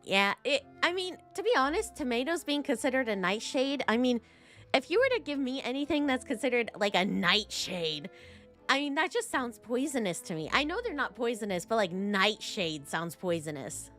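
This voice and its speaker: Female game streamer, highish voice, very lively intonation, playful rambling live commentary. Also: moderate pace, faint music behind. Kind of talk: casual chatty live gameplay commentary.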